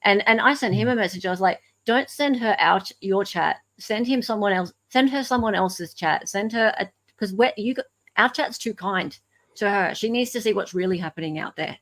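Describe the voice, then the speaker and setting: A woman's voice: medium pitch, normal speed, fairly bright tone. A woman chatting casually on a discussion panel, repeating her points for emphasis.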